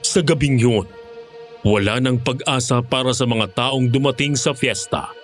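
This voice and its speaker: A man narrating a first-person horror story in a grave, measured, scripted manner. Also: medium pitch. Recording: music under the voice.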